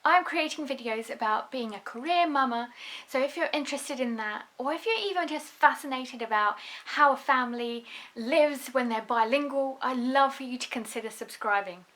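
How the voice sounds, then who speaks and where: Russian-accented woman, slightly high voice. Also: normal speed, fairly bright tone, soft background music. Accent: Russian, softened by a London upbringing. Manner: chatty and earnest, with filler ums and restarts. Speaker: vlogger, new mother. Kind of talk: casual talk to camera.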